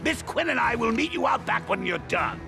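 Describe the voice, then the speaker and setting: An actor voicing a manic, theatrical clown supervillain in a cartoonish video game, given to exclamations. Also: male voice, extremely high pitch, big pitch swings, a little fast, gravelly, music in the background, full character voice. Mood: gleeful, excitable.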